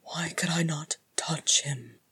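A woman narrator voicing a weary, stubborn male dwarf king, sullen and croaky, in a storybook. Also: a little high, slow, sharp tone, full character voice. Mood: gloomy, sullen. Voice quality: croaky, mumbling.

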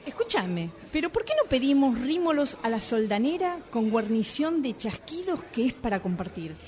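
A woman plays a genteel Argentine lady out to dine in a radio comedy. Her voice is fairly high, affected and picky, and she orders decisively. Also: sing-song, normal speed, a little mellow. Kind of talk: comic radio theatre acting.

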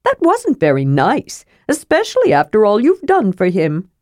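A woman's voice: mid-range voice, wildly swooping pitch, normal speed, darkish tone, dry recording, studio quality, voice pushed up. A woman voicing a small male kender adventurer in a fantasy audiobook, eager, inquisitive and chatty. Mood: chatty, questioning.

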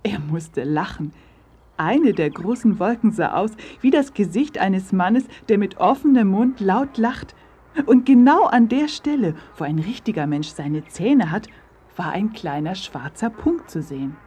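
A woman narrating a scripted story for children, addressing them directly as a measured storyteller. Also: medium pitch, lively intonation, dark tone, breathy edge.

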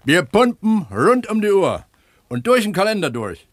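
An actor playing an eager, earnest pump attendant at an opencast mine in a naturalistic radio drama, explaining things like a tour guide. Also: male voice, high voice, very animated, moderate pace, no echo, studio quality. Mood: explanatory.

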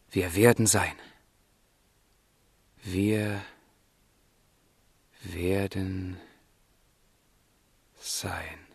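A man's voice: deep voice, gravelly, fairly bright tone, husky, no room sound, full character voice. An actor playing a lovelorn, tormented young man resolved to die, in a brooding, fervent radio drama style. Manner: slow, fervent, brooding declamation. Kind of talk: literary radio drama acting, declaimed monologue.

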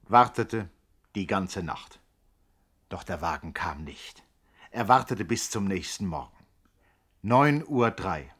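An actor as the narrator of a 1960s German radio play, suspenseful and grandly mock-sensational. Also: male voice, low-pitched, animated, slow pace, pauses often, a bit bright, breathy edge, full character voice. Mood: ominous.

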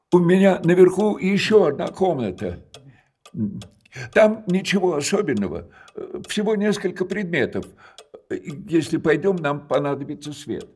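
An actor playing an elderly, widowed male junk-shop owner in a radio drama. He talks slowly and hesitantly, and his sentences trail off softly between pauses. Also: on the high side, breathy edge, exaggerated voice. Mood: hospitable. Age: older.

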